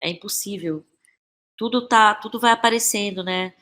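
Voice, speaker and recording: A woman's voice: mid-range voice, moderate pace, bright, forceful tone. A Brazilian woman speaking as a podcast guest, casual and reflective, often repeating phrases as she finds her words. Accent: Brazilian Portuguese. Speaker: interview guest, playwright and actress.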